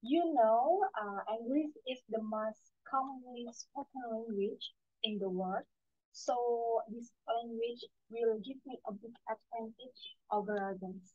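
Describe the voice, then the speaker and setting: A young woman with an Indonesian accent speaks slowly and haltingly, repeating words as she answers test questions. Her voice sits fairly high. The sound is dry and narrow, like a phone line from a headset on a video call. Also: husky. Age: young adult. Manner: hesitant, with fillers and repeated words. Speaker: student taking a speaking test.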